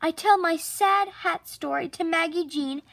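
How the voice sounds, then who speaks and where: A woman narrating a children's picture book expressively, with theatrical, drawn-out emphasis on key words. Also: extremely high pitch, vocal fry, fairly bright tone, no echo. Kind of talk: expressive children's storybook read-aloud.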